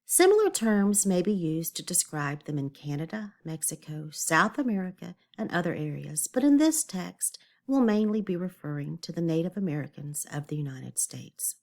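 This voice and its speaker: A woman's voice: on the low side, big pitch swings, moderate pace, gravelly, hardly any pauses, a little mellow. A woman teacher reading a lesson aloud to children, stopping to explain words simply in an instructional way. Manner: didactic, checks understanding, explains words simply.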